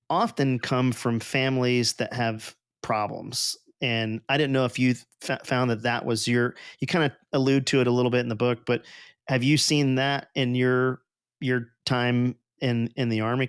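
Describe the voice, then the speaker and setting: A man's voice: mid-range voice, smooth, dry recording, clean studio sound. A man hosting a podcast, talking in a casual, profane and rambling way. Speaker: podcast host.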